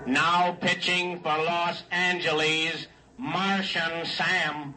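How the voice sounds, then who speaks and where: Casting: ballpark PA announcer, drawn-out formal delivery, far from the mic, old radio play. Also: male voice, a little high, flat delivery. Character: stadium announcer calling the pitcher, slow and formal. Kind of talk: 1950s radio drama acting. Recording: distant, noisy old radio recording.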